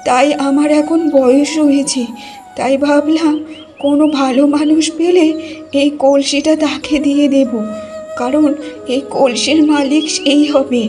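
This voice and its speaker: A woman performs a kindly old wandering granny from a cartoon folk tale. Her voice is high and soft-toned, slow and halting, with a tired, blessing tenderness. Music plays lightly underneath. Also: steady tone. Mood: gentle, solemn. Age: older. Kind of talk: cartoon fairy-tale character voice.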